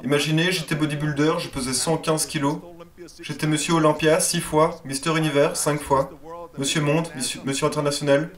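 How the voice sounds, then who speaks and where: A man's voice: on the high side, flat delivery, fairly quick. A man reading a first-person motivational speech as a dubbed voice-over, in an earnest and emphatic way. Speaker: voice-over translator.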